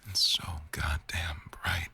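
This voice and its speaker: A man acting an attentive, tender boss in an intimate boyfriend ASMR roleplay, murmuring slowly to an employee in a gravelly voice. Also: bright, forceful tone, dry recording. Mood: reassuring, adoring. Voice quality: very deep, gravelly.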